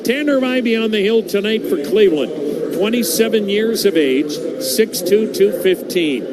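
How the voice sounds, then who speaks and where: High-voiced American baseball radio announcer, male. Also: expressive, normal speed. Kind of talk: live radio sports commentary. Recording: noisy ballpark ambience behind.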